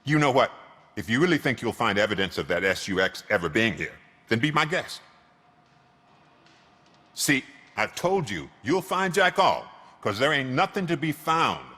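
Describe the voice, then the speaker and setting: An actor playing a shifty, grumbling car repair shop owner in a video game, raspy, wry and defensive. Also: male voice, medium pitch, big pitch swings, moderate pace, bright, forceful tone. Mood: irritated, defensive. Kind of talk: video game cutscene acting. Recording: long hall-like reverb.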